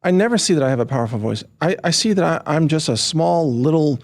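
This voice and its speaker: A man's voice: fairly high pitch, lively intonation, quick pace, a little mellow. A man hosting an interview, talking in a humble, earnest way and laughing easily. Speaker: podcast host. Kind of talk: casual interview chat.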